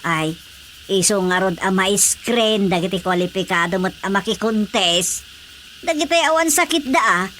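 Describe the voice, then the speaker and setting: A woman with an Ilocano accent playing a lively, eager older village wife in a radio comedy drama, full of drawn-out exclamations. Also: medium pitch, slight background noise, close to an everyday voice. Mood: scheming cheerfully.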